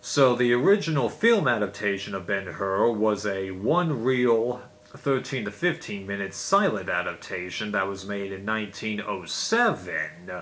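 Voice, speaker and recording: A man's voice: fairly low pitch, animated, unhurried, a bit bright. A man giving a casual, unscripted explainer as a video host, in a rambling, conversational way.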